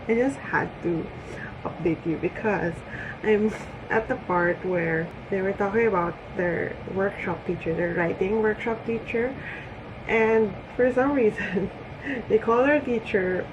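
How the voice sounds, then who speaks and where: A woman's voice: medium pitch, dull audio, noisy background. A woman talking casually and giggly to viewers in a rambling, conversational video diary, recorded indoors at home. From Philippines. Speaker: book vlogger.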